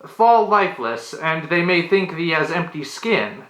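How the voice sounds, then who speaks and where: A man's voice: a little high, big pitch swings, a little slow, fairly bright tone, roomy sound, poor audio. A man playing a nervous medieval tavern steward, speaking in mock-Shakespearean monologue with hesitant ums and theatrical, falsely reassuring archaic diction. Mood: nervously encouraging.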